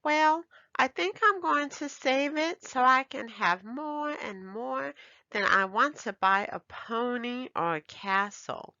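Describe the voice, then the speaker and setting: A woman voicing an excitable, emphatic little girl who is a daughter in a children's storybook. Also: sits high, slow, no echo, lo-fi sound, pitched above the performer's own voice, exaggerated voice. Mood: eager, proud.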